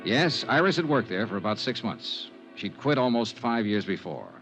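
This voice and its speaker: An actor playing a polite, wry hard-boiled insurance investigator who also narrates a 1950s radio drama, in a dry, courteous manner. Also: male voice, medium pitch, sing-song, moderate pace, music in the background. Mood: dry, narrating. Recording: muffled 1950s radio recording.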